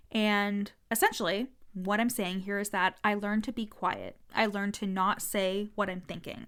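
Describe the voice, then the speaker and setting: A woman's voice: medium pitch, fairly bright tone, clean tone. A woman business coach talking frankly in a casual podcast monologue, swearing freely, with a stutter.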